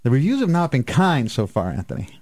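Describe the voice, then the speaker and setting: A man's voice: medium pitch, wildly swooping pitch, fast talker, a little mellow. A man hosting an informal panel chat, opinionated and keen to prod the others with questions. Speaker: radio show host.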